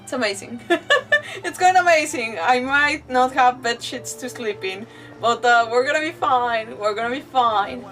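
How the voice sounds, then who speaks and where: Bubbly Mexican woman, native Spanish speaker, high voice, casual game-stream chatter, slightly distant mic. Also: normal speed, a bit bright. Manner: bubbly, rambling, giggly. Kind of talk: casual livestream chat while playing. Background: game music.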